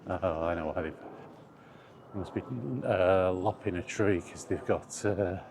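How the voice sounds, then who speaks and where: A man's voice: low voice, fairly even intonation, unhurried. A man rambling casually and easygoingly to himself as a vlogger while out walking, musing with trailing asides. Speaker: solo vlogger. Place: outdoors, walking along streets or paths. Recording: noisy outdoor recording with environmental sounds.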